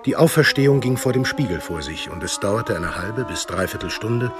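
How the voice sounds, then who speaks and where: Deep male German narrator over music. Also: lively intonation, normal speed. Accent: standard German. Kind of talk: scripted radio drama narration.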